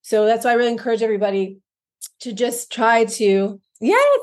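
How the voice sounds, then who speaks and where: Animated woman podcast co-host. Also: on the high side, normal speed. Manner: animated, emphatic, laughs often. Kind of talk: casual podcast chat.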